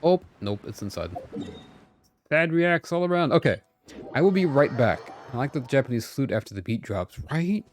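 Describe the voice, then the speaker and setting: A man's voice: medium pitch, sing-song, normal speed, slight background noise. A man chatting casually as a game streamer, talking to himself and to his viewers.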